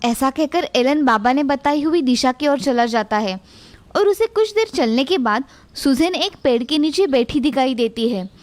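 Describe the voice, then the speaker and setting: A woman's voice: high-pitched, lively intonation, moderate pace, darkish tone. A woman narrating a scripted children's fairy tale as storyteller.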